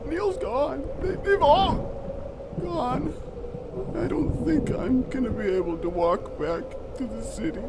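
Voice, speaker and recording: A man playing a badly injured young man freezing to death in an audio drama, devoted and desperate, weak, halting and tearful. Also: extremely high pitch, heavily acted. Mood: desperate, pleading. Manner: halting, broken phrases, tearful. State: weak, gasping, crying. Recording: noisy, loud wind-like ambience behind.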